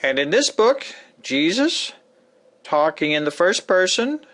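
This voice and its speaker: A man narrating a scripted introduction to a dramatic book reading, with emphatic, deliberate phrasing. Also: high voice, very animated, a bit bright.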